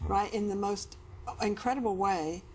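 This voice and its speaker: A woman working as a couples therapist, guiding a counseling conversation with gentle, prompting questions. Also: medium pitch, normal speed, a little hiss or hum. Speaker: couples therapist leading a session.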